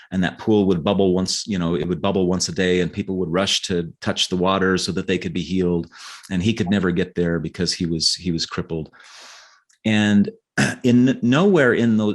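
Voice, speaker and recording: A man talking as an author in a conversational podcast interview, earnest and explanatory, often restarting his phrases. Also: sits low.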